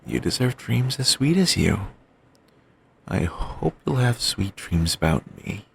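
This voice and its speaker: A young man voicing an obsessive, doting boyfriend in an ASMR audio roleplay, murmuring soft, soothing, controlling reassurances. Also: sing-song, measured pace, gravelly, a little hiss or hum. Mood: tender. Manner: coaxing, endlessly affectionate, quietly controlling. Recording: home mic, low-quality.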